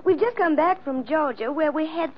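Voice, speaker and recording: An actress playing a sweet, fretful young Southern belle with an American Southern accent in a 1940s radio drama. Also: female voice, high-pitched, moderate pace, natural voice. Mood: gushing, coy. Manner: gushing, coy, effusive. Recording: dull, noisy old radio recording.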